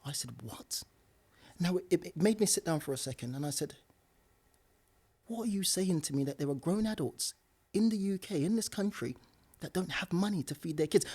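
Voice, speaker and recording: A man's voice: high voice, a little mellow. A young man with slangy speech, a property investor talking animatedly on a podcast, repeating himself and laughing often. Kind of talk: casual podcast conversation.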